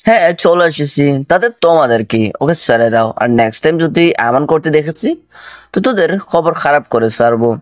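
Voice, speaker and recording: A man's voice: fairly high pitch, expressive, normal speed, no echo, clean studio sound, natural voice. A man playing a bold, protective young student in an audio story, speaking in a firm, challenging way. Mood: defiant, warning.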